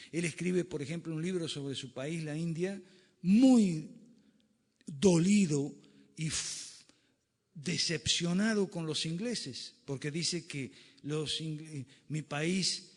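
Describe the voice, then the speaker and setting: A man giving a classroom lecture with slides, emphatic and fond of rhetorical questions. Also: on the high side. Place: lecture room. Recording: reverberant lecture hall.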